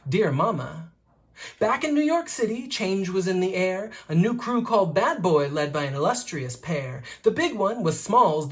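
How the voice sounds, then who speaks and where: A reader with an expressive, playful and rhythmic delivery, reading a rhyming picture book aloud like a teacher to children. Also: medium pitch.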